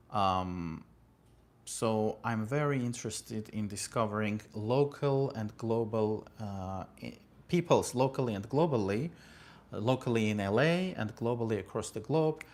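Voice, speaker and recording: A man from Armenia hosting a casual livestream from California. He thanks viewers by name and talks about philosophy with many fillers and asides. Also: lowish voice. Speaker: livestream host. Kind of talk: casual livestream talk reading viewer comments. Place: home in California.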